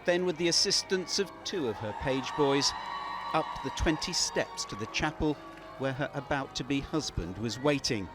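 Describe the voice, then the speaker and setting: A man reading a scripted television news report in a measured, storytelling way over a close mic. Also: mid-range voice, expressive. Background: music under the narration.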